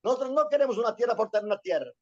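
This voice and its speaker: A man's voice: very high voice, expressive, very fast. A man from Venezuela giving a history lecture, often checking in with listeners and repeating himself as he searches for words. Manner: frequent questioning "¿sí?" and repetitions. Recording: dull lo-fi sound.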